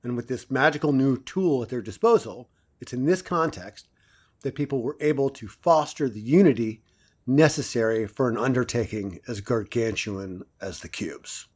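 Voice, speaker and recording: A man's voice: medium pitch, normal speed, darkish tone, lightly acted. A man playing a self-assured professor in an audio drama, lecturing didactically and theatrically as he prompts his class. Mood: didactic, assured. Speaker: university lecturer.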